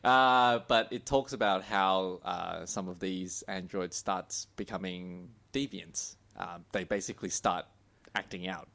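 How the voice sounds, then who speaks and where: A man co-hosting a podcast, explaining things casually in a halting way, often repeating words as he goes. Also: deep voice, steady tone, creaky, a bit bright. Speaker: podcast co-host.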